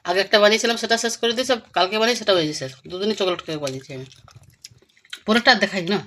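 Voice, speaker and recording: A woman's voice: mid-range voice, very fast, fairly bright tone. A middle-aged woman chatting casually and homely for a family vlog. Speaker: family vlogger, mother. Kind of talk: casual home vlog chat. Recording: noisy home recording with clicks.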